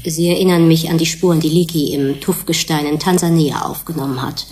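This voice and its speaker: An actress playing an earnest scientist in a German radio drama, lecturing colleagues in a measured, precise, didactic way. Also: female voice, low-pitched, steady tone, background noise, close to an everyday voice. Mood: earnest, explanatory.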